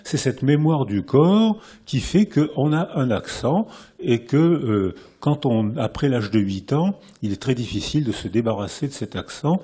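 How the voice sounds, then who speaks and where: A French-accented man lectures to a live audience in a hall with a warm, mellow voice and a slightly husky edge. He talks in a lively way, restarts his sentences and hammers points home through repetition. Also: mid-range voice, unhurried. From France.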